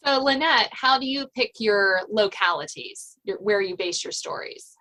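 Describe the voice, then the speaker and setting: An American woman hosting a casual interview, informal and somewhat halting as she works her way through questions and comments. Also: mid-range voice, animated, pushed and bright, slight background noise, rough recording. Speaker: podcast host.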